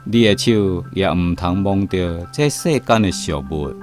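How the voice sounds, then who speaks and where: An actor playing a stern, pious Buddhist guide, speaking solemnly in a Taiwanese radio drama. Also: male voice, sits low, lively intonation, faint music behind. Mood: stern, instructing. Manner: solemn, measured, admonishing.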